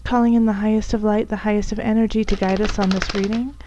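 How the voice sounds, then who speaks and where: A woman with a raspy, hoarse voice from bronchitis, softly reading tarot cards for her viewers, at times almost whispering. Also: mid-range voice, little pitch movement, fast, lo-fi sound, slight background noise. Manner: soft, at times whispery, with fillers and restarts. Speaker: tarot reader.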